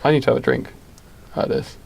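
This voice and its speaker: A man's voice: fast, darkish tone. A man co-hosting a comedy podcast, casually improvising a story and piecing it together aloud with some hesitation. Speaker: podcast co-host.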